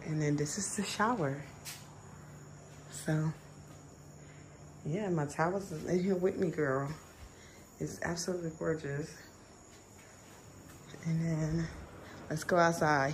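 Slow, gravelly young woman vlogging. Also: sits low, slight background noise. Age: 20s. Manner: chatty, addresses viewers as y'all. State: just woken, raspy voice. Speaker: travel vlogger. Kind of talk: casual vlog walkthrough. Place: resort villa bedroom, indoors. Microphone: phone held at arm's length.

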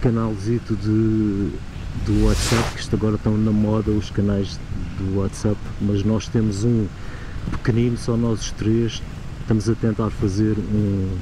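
A man's voice: fairly low pitch, little pitch movement, measured pace. Portuguese man chatting from a motorcycle. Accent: European Portuguese. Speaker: motovlog co-host. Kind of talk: casual chat while riding. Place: on a motorcycle on open country roads. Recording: wind and road noise.

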